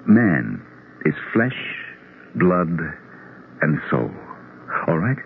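Low, swooping, earnest psychiatrist. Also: male voice, sing-song, gravelly, full character voice. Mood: fervent, lecturing. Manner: deliberate, halting, intense explaining. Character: grieving psychiatrist, earnest, obsessed with his theory. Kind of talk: 1970s radio drama acting. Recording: tinny, noisy old radio broadcast.

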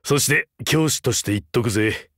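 A male actor playing a rugged older vampire hunter turned teacher in a game, wry, laconic and gruffly fatherly. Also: medium pitch, a little fast, fairly bright tone, dry recording, clean studio sound, lightly acted. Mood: gently weary. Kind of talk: Japanese mobile game character voice lines.

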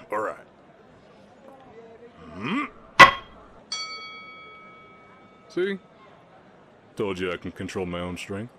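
A man as a deep, shy Southern orc cowboy. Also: sing-song, takes it slowly, fairly bright tone, slight background noise, exaggerated voice. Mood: playful. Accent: slight Southern US. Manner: hesitant, stammering, bashful. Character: shy, gentle lonesome orc cowboy, big and strong. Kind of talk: roleplay audio drama, soft ASMR acting.

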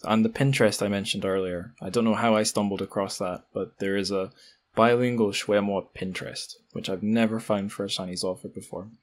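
A man's voice: on the low side, fairly even intonation, fairly quick. A man hosting a podcast, talking casually in a conversational, self-deprecating way. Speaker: podcast host.

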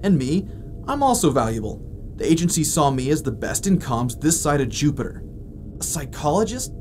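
A man's voice: fairly high pitch, big pitch swings, normal speed, slight background noise. An actor playing a brash, sarcastic male communications officer in a naturalistic space audio drama. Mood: argumentative.

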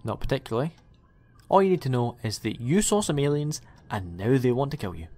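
A man's voice: mid-range voice, sing-song, fairly quick, warm tone. A man playing an eccentric, chatty time-travelling alien in an audio drama, with a rambling, quirky way of talking. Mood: breezy, rambling. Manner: rambling, self-correcting, quirky. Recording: music under the voice.

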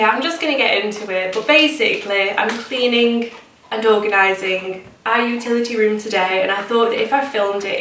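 A woman's voice: mid-range voice, fairly bright tone. British woman chatting in an echoey room. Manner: chatty, informal. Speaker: home vlogger. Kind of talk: casual chat to camera. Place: indoors in a home kitchen and small utility room. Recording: echoey room, distant mic, noisy background.

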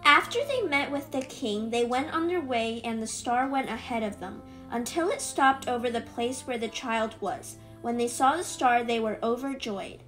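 Higher-pitched woman narrating over music. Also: normal speed, few pauses, fairly bright tone. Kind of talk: scripted storybook narration for a children's puppet show.

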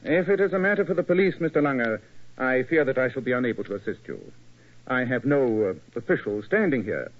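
A man's voice: mid-range voice, animated, brisk, natural voice. A man playing a self-assured English detective with a British accent, precise and formal, in 1940s radio acting. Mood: analytical. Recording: dull, lo-fi old radio recording.

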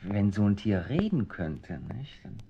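A man's voice: fairly low pitch, a little slow, highs cut off, a little hiss or hum. An actor playing a middle-aged, kindly but flustered male writer in an old radio play, bumbling and talking to himself. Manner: bumbling, talks to himself, lively. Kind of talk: 1970s radio play acting.

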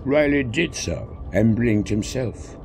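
A man's voice: mid-range voice, animated, slow pace, a little mellow. A man reading a scripted story as its narrator. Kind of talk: scripted narration of fan fiction. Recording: clear background noise.

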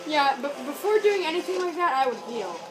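A woman's voice: high voice, sing-song, fairly quick, noisy background. A woman watching a friend play a game, chatting and offering advice in a teasing way. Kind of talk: casual chat.

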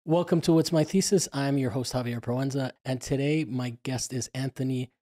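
A man's voice: mid-range voice, steady tone, normal speed, dark tone. A man hosting a podcast interview in an easygoing, jokey way, laughing often. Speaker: podcast host. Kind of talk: casual interview chat.

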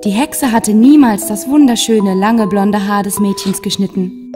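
A woman's voice: mid-range voice, steady tone, unhurried. Woman narrating a fairy tale over music. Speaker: storyteller.

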